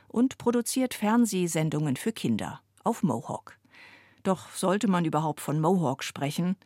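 A woman narrates a radio report in a clear, even way. Her voice sits a little low for a woman. The recording is close and dry. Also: dry recording.

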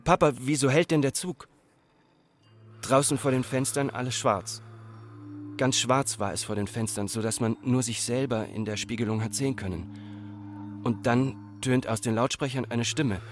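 An actor playing an Austrian farmer's son, telling a childhood memory in plain, measured radio drama narration. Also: male voice, mid-range voice, soft background music, lightly acted. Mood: reflective.

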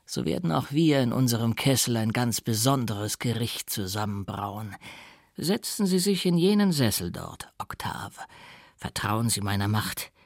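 A male reader plays a mysterious occult doctor from a Gothic audiobook tale. He speaks grandly and theatrically, with a gravelly, husky edge. His manner is zealous and faintly scornful, as if every phrase were an incantation. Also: mid-range voice, animated, a little slow, no echo, clean studio sound. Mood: conspiratorial, incantatory. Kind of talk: dramatic audiobook character acting.

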